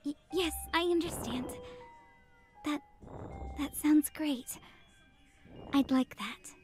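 A woman's voice: high voice, slow pace, faint music behind. A woman playing a timid, polite young girl in a game, with a hesitant, meek manner. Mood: nervous, polite. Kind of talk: visual novel voice acting.

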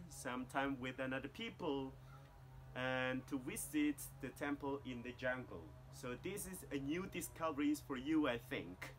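A man's voice: on the high side, sharp tone, a little hiss or hum. A Cambodian man in his thirties, a tour guide speaking careful non-native English while explaining a temple outdoors to the camera. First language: Khmer. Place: outdoors among jungle temple ruins.

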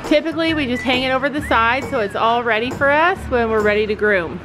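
A woman's voice: a little high, quick pace, low-quality recording. A woman in her forties from Canada, chatting upbeat to a handheld camera at home and at a horse barn as a family vlogger. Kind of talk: casual vlog narration. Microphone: handheld camera at arm's length. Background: background music.

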